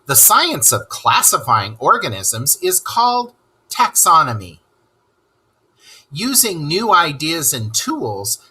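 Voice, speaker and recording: A man's voice: on the high side, bright, forceful tone, breathy edge, exaggerated voice, low-quality recording. A man voicing a cheerful male rat who is an animal expert and world traveler, teaching children and asking them questions. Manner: enthusiastic, asks the listener questions. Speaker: read-aloud teacher. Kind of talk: children's lesson read-aloud.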